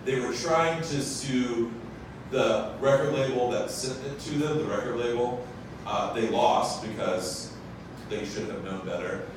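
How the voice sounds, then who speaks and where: A man's voice: medium pitch, a little slow. A young man who owns a vinyl pressing plant, casually explaining to a live audience with lots of fillers and self-corrections, into a handheld mic in a brick bar. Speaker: vinyl pressing plant owner speaking at a science cafe. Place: large brick-walled bar room. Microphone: handheld mic, filmed from far off. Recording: echoey room, far camera, background noise.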